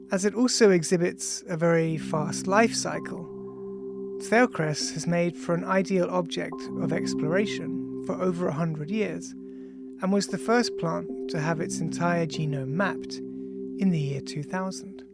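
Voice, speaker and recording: Audiobook author, androgynous mid-pitched voice, measured science reading, clear music bed underneath. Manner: measured, reads punctuation aloud. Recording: music behind the voice. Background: ambient music with bell-like tones.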